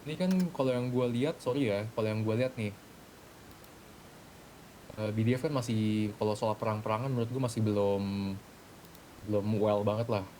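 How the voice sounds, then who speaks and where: A man's voice: steady tone, normal speed, long pauses, dark tone. A man casually laying out his points step by step in an online game voice chat. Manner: explanatory, making points step by step. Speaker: player in a role-play gang meeting. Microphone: gaming voice chat microphone. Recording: rumbly background noise on game voice chat.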